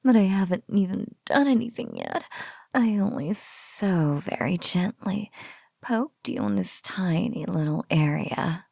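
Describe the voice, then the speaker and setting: Woman playing a breathy, slow, teasing spider-woman captor. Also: fairly low pitch, wildly swooping pitch, darkish tone, no echo, studio quality, exaggerated voice. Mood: playful, mocking. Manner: slow, teasing, mock-pitying coos, slipping into whispers. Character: teasing spider-woman bully, sultry, smugly menacing captor. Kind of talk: intimate ASMR roleplay acting.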